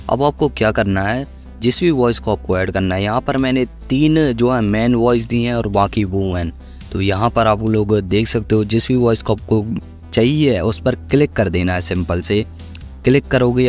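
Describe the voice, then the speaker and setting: A man's voice: on the low side, darkish tone. A man giving a casual step-by-step tutorial, instructing and repeating each step. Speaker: tech tutorial host. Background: music.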